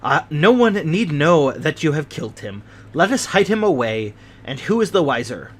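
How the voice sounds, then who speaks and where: A man voicing a loyal, sly old male servant who speaks softly and conspiratorially. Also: a little high, very animated, moderate pace.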